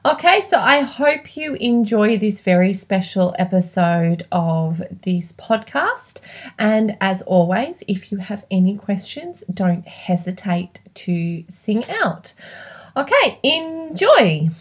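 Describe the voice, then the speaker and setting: A woman's voice: medium pitch, big pitch swings, slow pace, slightly rough. A woman presenting informally to listeners as a parenting coach and host.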